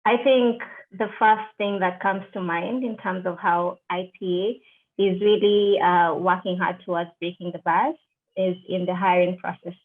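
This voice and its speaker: A middle-aged woman from Kenya answers interview questions over a video call. She talks in a measured way, pausing on fillers and restarting her phrases. Her voice comes through thin and tinny, as over a call line. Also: lowish voice, fairly even intonation. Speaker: interviewee, deputy country director.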